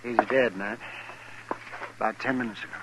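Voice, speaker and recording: A man's voice: mid-range voice, steady tone, moderate pace, noisy background, close to an everyday voice. A man playing a crusty, sardonic frontier town doctor in a 1950s radio western, testy and dry. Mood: somber.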